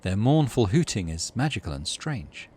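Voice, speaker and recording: A man's voice: fairly low pitch, big pitch swings, moderate pace, darkish tone, a little hiss or hum. A middle-aged man hosting a let's play, reading game text expressively and making casual muttered asides to himself. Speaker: gaming channel host.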